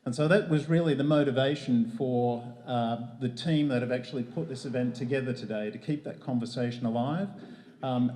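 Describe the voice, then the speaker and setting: A man's voice: mid-range voice, darkish tone. Australian man speaking in an echoey hall. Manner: hesitant, many fillers and restarts. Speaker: event host. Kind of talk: community event speech.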